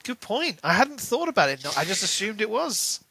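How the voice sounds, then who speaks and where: Bright, higher-voiced man in casual podcast chat. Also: sing-song, normal speed, vocal fry, breathy edge, dry recording. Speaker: podcast co-host. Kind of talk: casual book discussion chat.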